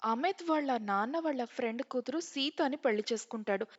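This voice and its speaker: A woman's voice: fairly high pitch, brisk, in a large hall, dull audio. A woman narrating a scripted story as its storyteller.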